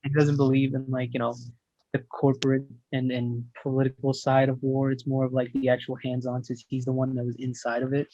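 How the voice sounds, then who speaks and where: A man's voice: medium pitch, completely flat delivery, a little fast, dark tone. A man chatting casually as a panelist on an online group call. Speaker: discussion panelist. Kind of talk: casual chat. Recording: dull lo-fi call audio.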